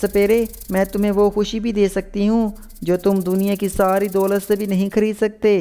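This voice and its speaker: A man voicing a magical shape-shifting female serpent, beseeching and gracious, with drawn-out phrasing, in an animated story. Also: sits high, clear, resonant tone, pitched above the performer's own voice, lightly acted. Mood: promising.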